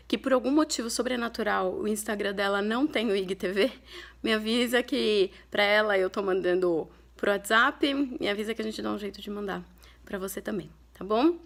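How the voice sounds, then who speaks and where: A woman in her thirties from Brazil, telling a personal family story to her followers in a candid, reflective way, pausing and restarting often. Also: medium pitch. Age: 30s. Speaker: vlogger.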